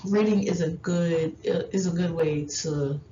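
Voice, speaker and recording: A woman whose first language is Haitian Creole gives parenting advice in a loose, rambling presentation. Her low voice moves slowly, full of ums and restarts. The recording is dull and lo-fi, with a faint hiss underneath. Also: fairly even intonation, warm tone. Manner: rambling, many fillers and restarts. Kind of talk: informal class presentation.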